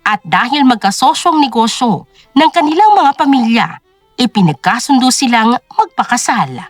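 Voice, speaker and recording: A woman's voice: on the high side, big pitch swings, normal speed, no room sound, soft background music. A woman narrating a scripted drama story in a dramatic storytelling style.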